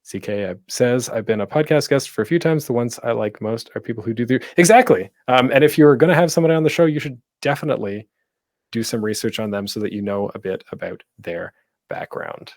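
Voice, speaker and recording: A man's voice: mid-range voice, a little fast, a little mellow, no echo, clean studio sound. A man giving an informal presentation as a host, conversational and thinking aloud as he goes. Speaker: panel host and podcaster giving tips. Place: at home, online panel.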